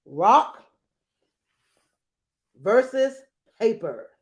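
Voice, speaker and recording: A woman's voice: on the high side, big pitch swings, drawn-out words. A woman reading a children's storybook aloud in a dramatic way, with playful asides. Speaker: storybook reader. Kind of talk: animated children's read-aloud narration.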